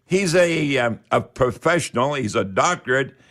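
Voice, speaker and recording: A male radio host speaks at a middling pitch. His delivery is folksy and rambling, full of hesitations, as he addresses listeners on a live call-in show. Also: lively intonation, normal speed, a bit bright.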